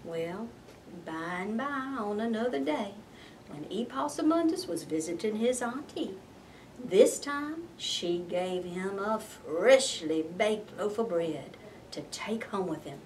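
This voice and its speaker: A woman reading a picture book aloud as expressive narration, like a librarian at story time. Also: medium pitch, takes it slowly, recorded from a distance, slight background noise.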